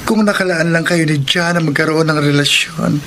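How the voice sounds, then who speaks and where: A man playing an earnest, selfless friend giving counsel in a Filipino radio drama, with measured, philosophical delivery. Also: on the high side, fairly even intonation, a bit bright, a little hiss or hum. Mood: solemn. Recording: music under the voice. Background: music.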